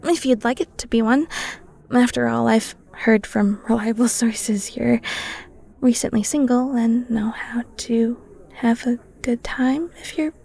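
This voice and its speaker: A woman's voice: on the high side, fairly even intonation, a little slow, creaky, mellow, slightly rough, exaggerated voice. A woman playing a playful, flirty cheesemonger in an intimate, teasing audio roleplay. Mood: chatty, teasing, then soft, flirty. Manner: bubbly, teasing, giggly, breaks into near-whispers.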